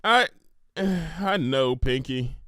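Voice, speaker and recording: A man's voice: on the high side, expressive, drawn-out words, pushed and bright, no echo, studio quality, pitched above the performer's own voice, heavily acted. A man voicing a proud, stubborn female country pony in a cartoon story, with a folksy Southern US drawl. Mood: sincere.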